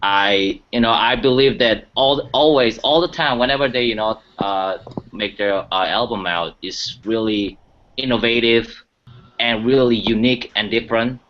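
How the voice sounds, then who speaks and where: A man's voice: mid-range voice, normal speed. A man talking casually and enthusiastically as a panelist on a music talk show, often saying "you know". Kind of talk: casual chat. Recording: dull lo-fi sound.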